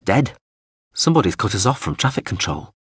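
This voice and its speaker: A man's voice: lowish voice, very animated, a little fast, fairly bright tone, husky, dry recording, studio quality, exaggerated voice. A man playing a stolid male ship's engineer who speaks bluntly and briefly in an audiobook story.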